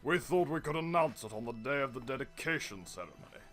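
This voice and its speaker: A man's voice: medium pitch, expressive, fast talker, a bit bright, natural voice. A man playing a shrewd, fawning prime minister in an audio drama, speaking in a formal, unctuous manner. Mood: deferential. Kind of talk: radio-play acting.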